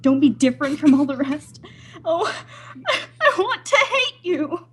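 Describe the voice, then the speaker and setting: A woman's voice: high-pitched, very animated, unhurried, a little hiss or hum. A woman playing an anguished young island daughter torn by love, pleading breathlessly in an old-time radio melodrama. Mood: bitter anguish.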